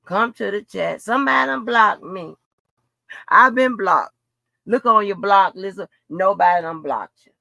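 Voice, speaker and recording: A woman's voice: mid-range voice, measured pace, gravelly, sharp tone, no room sound, clean studio sound. A woman in an unscripted livestream rant, blunt, profane and confrontational, repeating phrases for emphasis. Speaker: livestream host.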